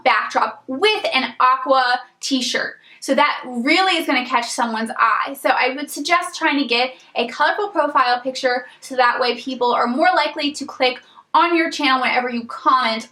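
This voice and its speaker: Young woman, beauty vlogger, slightly raspy from a cold, rambling casual chat, echoey room. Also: high voice, bright, forceful tone. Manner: chatty, rambling, many ums and you-knows. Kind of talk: casual tips vlog to camera.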